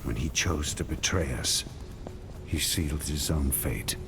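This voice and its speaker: A man's voice: very deep voice, a little slow, vocal fry, over music, exaggerated voice. A man playing a stern, resolute warrior in a fantasy game, speaking tersely and stoically. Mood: grim.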